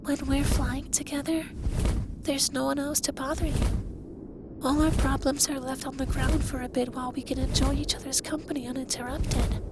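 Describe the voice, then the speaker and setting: A man voicing an effeminate young dragon lover in an intimate ASMR roleplay, speaking softly and breathily, teasing and commanding yet doting. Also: very high-pitched, level tone, moderate pace, very noisy. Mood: teasing, affectionate.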